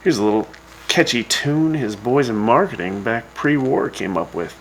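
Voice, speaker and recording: A male radio host with a gravelly, rough-edged voice that swings up and down a lot. He talks in a loose, folksy DJ style, dry and wry. There is a faint hiss behind him. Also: mid-range voice, expressive, normal speed, rough recording. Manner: laid-back, wry, folksy. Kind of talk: casual radio DJ patter.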